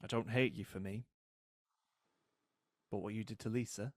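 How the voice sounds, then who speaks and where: An actor in an audio drama playing a taunting, cold, vengeful British brother who speaks in a mocking, unhurried way. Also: male voice, lowish voice, fairly even intonation, no room sound, studio quality, natural voice. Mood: gloating, menacing.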